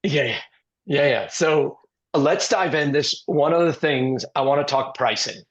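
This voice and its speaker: A man hosting an interview with a hoarse, scratchy voice, rambling and filling pauses with ums and uhs. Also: fairly high pitch, lo-fi sound. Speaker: podcast host and investor. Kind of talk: casual interview talk.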